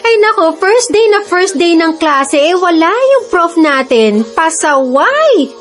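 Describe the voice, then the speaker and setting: A woman's voice: extremely high pitch, sing-song, takes it slowly, fairly bright tone, faint music behind, full character voice. An actress playing a teasing, coy young female college student in a Filipino radio drama. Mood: chatty, curious.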